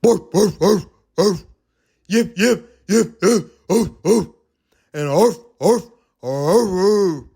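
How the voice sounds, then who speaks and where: A man's voice: sits high, slow pace, vocal fry, dark tone, husky, exaggerated voice. A man voicing storybook animals such as barking dogs and a hooting owl, with exaggerated spoken calls. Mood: urgent.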